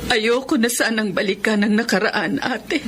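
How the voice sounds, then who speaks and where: A woman's voice: on the high side, moderate pace, a bit bright, a little hiss or hum, natural voice. An actress playing an anguished, pleading middle-aged mother with a Filipino accent, in radio melodrama. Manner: tearful, pleading, sorrowful.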